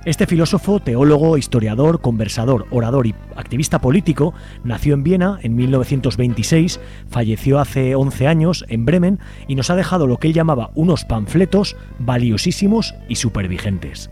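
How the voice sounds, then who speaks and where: Man, Spain accent, radio co-host, scripted delivery, soft mellow tone, music in the mix. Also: medium pitch, expressive, moderate pace.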